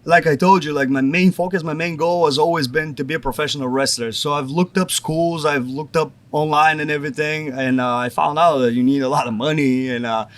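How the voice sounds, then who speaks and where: A man's voice: a little high, steady tone, brisk, few pauses. A young man from Bulgaria, a pro wrestler, chatting casually in an interview and leaning on "like" a lot. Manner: rambling, says "like" often.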